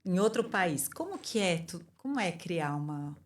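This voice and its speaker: A woman hosting a podcast who interviews her guest in an encouraging way, with quick approving interjections. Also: fairly low pitch, very animated, a little hiss or hum. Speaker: podcast host.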